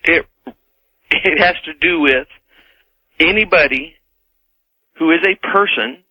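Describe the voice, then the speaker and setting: An American man debating as a caller on a radio show, formal and emphatic but halting, heard over the telephone. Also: a little high, slightly rough, lo-fi sound. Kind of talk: live phone-in debate.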